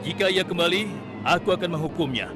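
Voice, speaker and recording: Man acting a stern, commanding king, highish voice. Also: lightly acted. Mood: stern, angry. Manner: commanding, formal, deliberate royal speech. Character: stern, just prophet-king Sulaiman, quick to threaten punishment. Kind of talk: Indonesian children's religious cartoon acting. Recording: loud music behind the voice.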